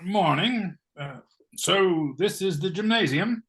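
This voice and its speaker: A man playing a blustery, oblivious knighted gentleman in a comic stage play, sounding puzzled. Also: high voice, animated, slow, exaggerated voice. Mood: breezy, oblivious. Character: bewildered, blustery English-style knighted gentleman, oblivious husband.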